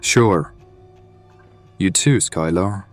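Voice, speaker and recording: A man's voice: deep voice, extremely slow, soft background music. A man playing a terse, commanding leader of a band of survivors in an audio drama.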